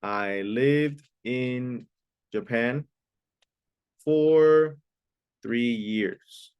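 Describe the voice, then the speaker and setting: A man's voice: medium pitch, extremely slow, clean tone, lo-fi sound. A man teaching an online English class, patiently repeating key phrases and sometimes explaining in Spanish. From Mexico.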